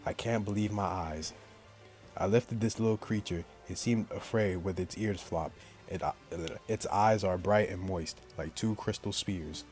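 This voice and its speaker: Very low-voiced man reading a visual novel. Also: a little slow, a little mellow. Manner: slangy, jokey commentary. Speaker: gaming YouTuber. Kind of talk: visual novel let's play, reading aloud with joking asides. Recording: music behind the voice. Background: game music.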